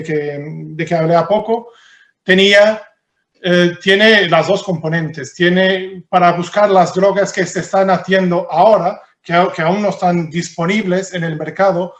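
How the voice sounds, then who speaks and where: A man's voice: high voice, roomy sound. A man from Portugal with a European Portuguese accent, giving a conference talk and answering questions, often repeating words as he thinks. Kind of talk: conference presentation and Q&A.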